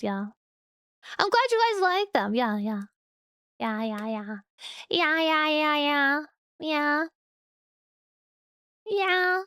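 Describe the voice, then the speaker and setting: Young woman streamer yapping to chat, high voice, dry close mic, giggly and laid back. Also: clean tone, dry recording. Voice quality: relaxed, chatty, giggly. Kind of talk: casual chat with stream viewers. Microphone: studio microphone.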